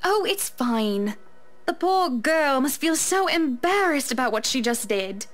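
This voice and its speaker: A woman's voice: high voice, big pitch swings, a little slow. A woman playing a poised, self-assured wealthy wife in a visual novel, genteel and gracious, over faint music. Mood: gracious, sympathetic.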